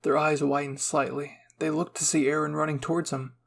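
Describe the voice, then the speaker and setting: A man narrates a scripted first-person story. His voice sits a little high for a man, with a husky texture and plenty of vocal fry. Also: flat delivery, a little fast.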